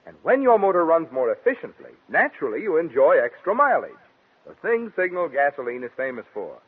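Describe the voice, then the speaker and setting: A man announcing on 1940s radio in a polished, emphatic, measured commercial style. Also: on the high side, wildly swooping pitch, husky. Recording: tinny 1940s radio broadcast.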